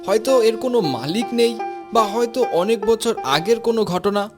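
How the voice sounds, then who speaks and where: A man's voice: high voice, animated, moderate pace. A man playing a fair-minded, authoritative village imam in a moral cartoon, speaking formally. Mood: solemn, declaring. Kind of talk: Bengali moral cartoon dialogue. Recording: music behind the voice.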